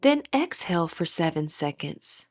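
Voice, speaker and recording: A woman with a breathy voice gently leading a guided meditation as an instructor, in measured, scripted phrases. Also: fairly low pitch, big pitch swings, dry recording.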